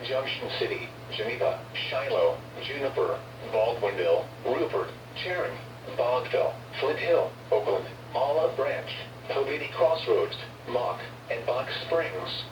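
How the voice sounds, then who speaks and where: A man announces on weather radio in a formal, synthetic-sounding way, reading a scripted emergency bulletin at a medium pitch. The broadcast reception is noisy and sounds distant, with an echo around the voice. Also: very animated, measured pace. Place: recorded off a radio receiver.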